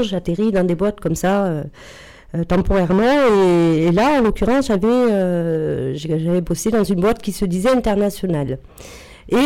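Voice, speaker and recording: A woman's voice: medium pitch, mellow. Woman with a strong Marseille accent. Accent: Marseille French. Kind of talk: casual debate.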